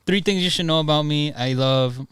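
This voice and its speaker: A man's voice: fairly high pitch, a little fast, fairly bright tone, smooth, no echo, studio quality. A young man talking casually as a podcast guest, often repeating his phrases. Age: young adult. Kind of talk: casual chat.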